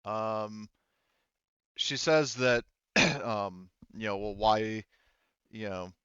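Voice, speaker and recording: A man's voice: fairly low pitch, steady tone, extremely slow, bright, forceful tone, smooth, no echo, lo-fi sound. A man acting as game master, narrating a tabletop game casually in an explanatory, conversational way with frequent qualifications.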